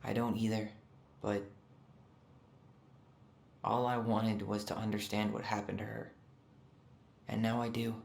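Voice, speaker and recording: A low-voiced pensive young man in a game drama, with a gravelly edge. He is guarded and subdued, speaking naturally and hesitantly in a roomy space. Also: level tone, unhurried, long pauses, a little mellow. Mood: reflective, sad. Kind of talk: naturalistic game cutscene dialogue.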